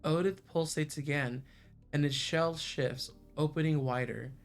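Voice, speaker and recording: A man's voice: a little high, steady tone, unhurried, faint music behind. A man playing an anxious young man who narrates a visual novel in the first person, with an everyday voice. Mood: tense, watchful.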